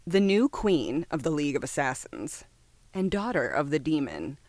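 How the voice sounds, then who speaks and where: Animated low-voiced woman, casual and opinionated. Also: moderate pace, no echo. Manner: opinionated asides, informal, swearing. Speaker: reviewer reading a comics top-ten list. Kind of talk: casual list commentary, partly read from a script.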